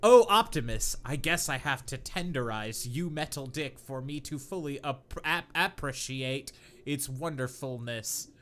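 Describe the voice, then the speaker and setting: Man, podcast host, mid-pitched, very lively intonation, comic reading, incredulous, prone to cracking up. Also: a little slow. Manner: breaks into laughter and stumbles while reading; incredulous, mocking asides. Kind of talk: comic read-aloud of bad fan fiction with asides.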